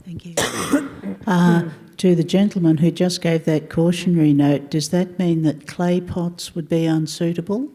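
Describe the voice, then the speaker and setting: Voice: mid-range voice, steady tone, a little slow, mellow. An audience member with an Australian accent asking a polite, formal question.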